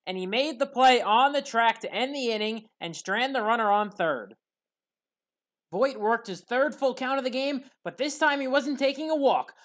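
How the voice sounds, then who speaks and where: Woman, somewhat high-pitched, sports commentator, scripted highlight narration, play-by-play recap.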